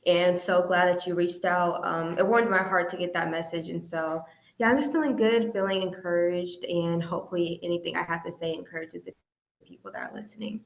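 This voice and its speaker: Low-voiced young woman in an echoey room. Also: fairly even intonation, a little fast, gravelly. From Indiana, American Midwest. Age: young adult. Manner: earnest, reflective. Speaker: interview guest. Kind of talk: personal testimony in conversation. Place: remote online call. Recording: roomy, echoey call audio.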